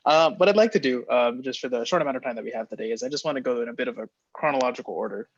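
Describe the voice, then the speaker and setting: A man hosts an interview in a rapid, upbeat way, peppering his questions with fillers. His voice is husky. The sound is dry and dull, like lo-fi call audio. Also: mid-range voice, no room sound. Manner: brisk and upbeat, many fillers. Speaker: host interviewer. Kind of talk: casual interview hosting.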